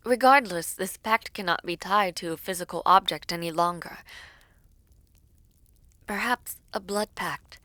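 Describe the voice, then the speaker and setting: Composed, low-voiced young princess, acted by a woman. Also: a bit bright, no room sound. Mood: startled, resolute. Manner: formal, deliberate, stately phrasing. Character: composed young princess negotiating a peace treaty, regal and measured. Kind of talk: fantasy audio roleplay acting.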